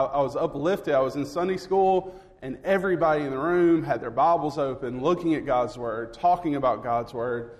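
A man from Alabama with a Southern accent, speaking as a guest preacher in a sermon. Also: medium pitch. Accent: Southern American, Alabama. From Alabama, United States. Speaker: guest preacher, young adults and students pastor. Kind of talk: sermon with scripture reading.

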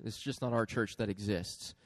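A man from Hawaii speaking informally and haltingly as a pastor introducing a guest preacher. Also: lowish voice, steady tone, no echo. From Hawaii, United States.

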